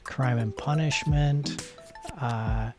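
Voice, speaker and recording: A male streamer with a soft, unforced voice at an ordinary middle pitch, rambling through casual chat and muttering asides at the game. He sounds self-deprecating and easygoing. Music plays clearly under his voice. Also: fairly even intonation, extremely slow. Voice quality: soft, not gravelly at the moment. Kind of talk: casual live-stream chat while playing.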